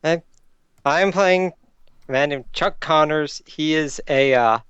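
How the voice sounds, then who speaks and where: A man chats casually during a tabletop game. His voice is a bit high and very clean. The sound is close and dry, though slightly dull. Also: slow pace, fairly bright tone, clean tone, no echo. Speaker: player in a tabletop role-playing game. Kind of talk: casual chat.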